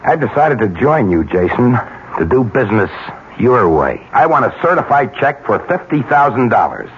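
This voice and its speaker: An actor in a 1970s radio mystery playing a cool, calculating blackmailer, terse and deliberate. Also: male voice, mid-range voice, sing-song, lightly acted. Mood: cool, threatening. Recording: dull, noisy 1970s radio recording.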